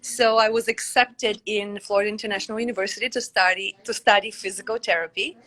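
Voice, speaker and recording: A woman's voice: medium pitch, fairly even intonation, quick pace, a bit bright, poor audio. Bulgarian-born woman telling her story. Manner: reflective, frequent fillers. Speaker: Pilates instructor and guest. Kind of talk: conversational interview answers.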